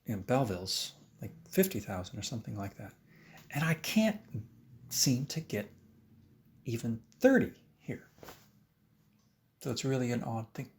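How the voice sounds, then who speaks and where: A man who teaches violin, talking in a documentary interview, lively and acting out his own past remarks, with frequent restarts. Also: mid-range voice, normal speed, long pauses.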